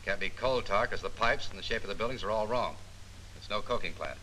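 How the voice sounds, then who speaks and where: A self-assured Army photo interpreter. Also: male voice, fairly low pitch, a little fast, pushed and bright, low-quality recording. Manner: precise, self-assured, explanatory. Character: confident, meticulous male Army photo interpreter. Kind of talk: 1940s training film acting. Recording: 1940s film soundtrack with faint hiss.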